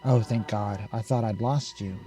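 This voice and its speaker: A man's voice: on the low side, steady tone, normal speed, mellow, soft background music, lightly acted. A man voicing an anxious, controlling mother in a horror game, coaxing and insistent. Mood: relieved. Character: anxious, controlling mother fussing over her daughter.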